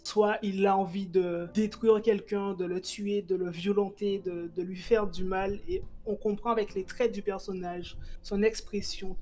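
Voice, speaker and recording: Animated woman reviewing manga, faint music. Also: medium pitch. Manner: animated, quick bursts with many fillers and restarts. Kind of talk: casual chat.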